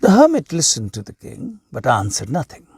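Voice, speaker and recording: A man reading a tale aloud as a scripted narration, deliberately, with pauses between short phrases. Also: medium pitch, wildly swooping pitch, creaky, a little mellow, slightly rough, no room sound.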